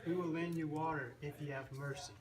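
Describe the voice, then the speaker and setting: Man as a greedy cottage sister, highish voice. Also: fairly even intonation, brisk, a crowd in the background, close to an everyday voice. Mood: coaxing. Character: greedy, shrewd cottage sister in a folk tale. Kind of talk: amateur rhyming puppet show dialogue. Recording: noisy live room recording.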